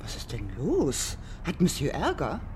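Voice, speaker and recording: A woman's voice: low voice, huge pitch swings, a little slow, darkish tone, slight background noise, heavily acted. An actress playing an anxious, inquisitive woman employed in a household, in a radio play. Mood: worried, curious.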